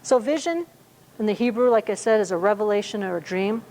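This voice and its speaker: A woman's voice: mid-range voice, animated, brisk, darkish tone, rough recording. A woman in her fifties preaching a sermon in a conversational way, with many fillers and restarts. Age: 50s. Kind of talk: sermon at a church service.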